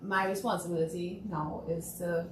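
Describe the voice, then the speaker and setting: Trinidadian woman, talk-show guest, animated; off-mic, roomy. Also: lowish voice, normal speed, recorded from a distance. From Trinidad and Tobago. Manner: animated, laughing, mimics people. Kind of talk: casual talk show chat. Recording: echoey room, voice from off-mic, faint noise.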